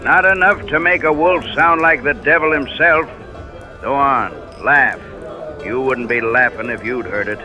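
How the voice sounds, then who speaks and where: Old west saloon yarn spinner, very bright forceful voice, folksy, radio play. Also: male voice, medium pitch, measured pace, soft background music. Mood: insistent. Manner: folksy, emphatic. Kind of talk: radio drama acting.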